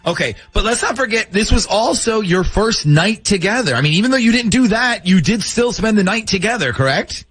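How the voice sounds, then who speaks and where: A man's voice: high-pitched, lively intonation, a little fast, few pauses, fairly bright tone, breathy edge, dry recording. A man hosting a radio call-in show, interviewing conversationally with probing questions and recaps. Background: background music.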